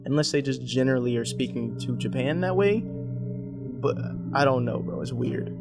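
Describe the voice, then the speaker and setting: A man's voice: medium pitch, animated, moderate pace. A man giving casual explainer commentary as he walks through a horror series. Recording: music behind the voice.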